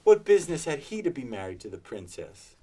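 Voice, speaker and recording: A man reading aloud and voicing an old miser who is excited and tearful, caught between laughing and crying. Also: mid-range voice, normal speed, close to an everyday voice. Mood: giddy.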